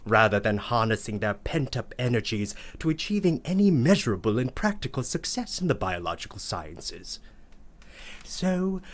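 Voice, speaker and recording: Man playing a pompous, swooping, prissy uncle. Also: on the low side. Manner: pompous, ornate, theatrical. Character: prissy, verbose, scandalized uncle telling family tales. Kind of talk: comic radio play monologue.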